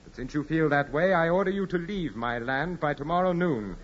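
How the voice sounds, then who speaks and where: An actor playing a proud, imperious aristocratic landowner with formal, measured speech in a 1940s radio drama. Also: male voice, a little high, lightly acted. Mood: imperious, cold. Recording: muffled 1940s radio recording with music.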